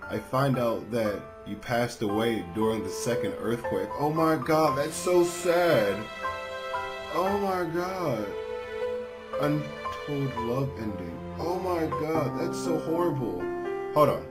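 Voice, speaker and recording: A man's voice: mid-range voice, expressive, slow pace. A man hosting a let's play, commenting and reading a visual novel aloud into a microphone over game music. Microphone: dedicated microphone. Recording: loud game music behind the voice.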